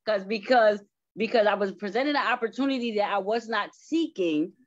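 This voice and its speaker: A woman in casual conversation, excitable and effusive, rambling as she talks. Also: medium pitch, normal speed. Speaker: stage play cast member. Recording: dull, lo-fi audio.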